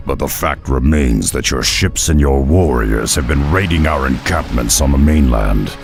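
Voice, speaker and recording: An actor playing a gruff, hulking male half-ogre beast hunter, slow and blunt, with a gravelly voice, in a game cutscene. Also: extremely low pitch, animated, soft background music, exaggerated voice. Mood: stern. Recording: game cutscene with loud score.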